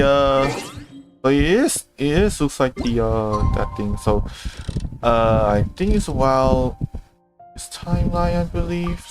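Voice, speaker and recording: Male livestreamer, mid-pitched, casual halting play commentary over clearly audible game music. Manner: halting, thinking aloud.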